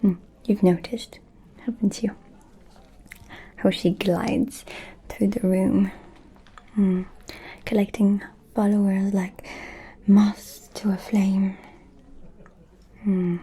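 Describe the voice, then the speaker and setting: Woman acting as an ancient vampire lady, asmr roleplay, breathy mellow whisper, super slow n seductive, kinda menacing. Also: mid-range voice, flat delivery. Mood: amused, conspiratorial. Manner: slow, deliberate, pausing, intimate.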